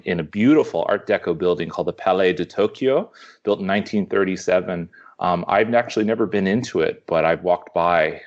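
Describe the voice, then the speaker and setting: A man guesting on a podcast, explaining art in a halting way with many fillers. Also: deep voice, lo-fi sound. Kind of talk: casual explaining.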